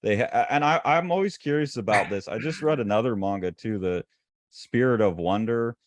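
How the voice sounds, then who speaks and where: A man's voice: on the low side, fairly quick. A man co-hosting a casual art discussion, talking loosely and eagerly with many fillers and restarts. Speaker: co-host.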